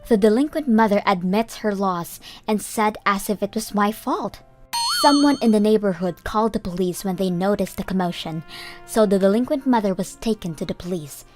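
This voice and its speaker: A woman's voice: medium pitch, normal speed, a little mellow, faint music behind. A woman reading a scripted first-person story as the narrator of a comic dub.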